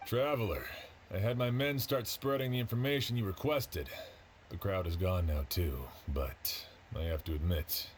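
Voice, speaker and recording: A man playing an earnest male official in an anime-style video game, speaking in a measured way. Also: low-pitched, a little hiss or hum, close to an everyday voice. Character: earnest male official investigating a crime with helpers.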